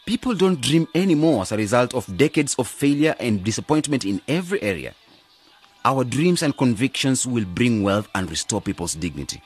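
An actor playing an earnest, optimistic young graduate who leads a village cooperative in a radio drama. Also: male voice, medium pitch, very animated, fairly quick, breathy edge, soft background music. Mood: resolute. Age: young adult.

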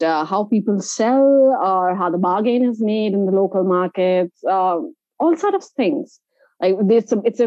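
A woman with an Indian accent answers as an interview guest in a mellow, relaxed voice. She explains in a halting, conversational way, often repeating a word as she goes. The audio is a little dull and lo-fi. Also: mid-range voice, dry recording. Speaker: interview guest, inclusive travel organiser.

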